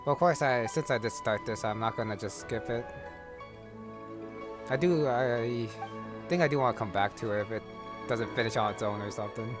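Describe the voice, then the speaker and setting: A man's voice: medium pitch, moderate pace, pauses often, music in the background. A man giving casual let's-play commentary, muttering wry asides to himself. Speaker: gaming channel host.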